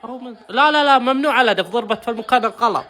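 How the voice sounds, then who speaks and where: A man's voice: very high voice, racing, bright, forceful tone. A man reacting live to a football match, voice strained from shrieking and chanting. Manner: shrieking, chanting, laughing between outbursts.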